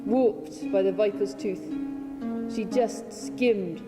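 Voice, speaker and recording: A woman reads poetry dramatically at a slow, deliberate pace, leaving weighted pauses. Her voice rings in a large hall, with plucked string music clearly audible behind her. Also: medium pitch. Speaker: reader of own poetry translation. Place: large hall at a public reading.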